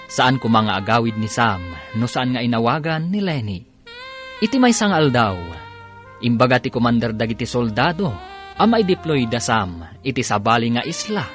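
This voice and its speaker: A man with a Filipino Ilocano accent narrating and announcing a radio drama in a dramatic, scripted style. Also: mid-range voice. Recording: radio broadcast with music behind.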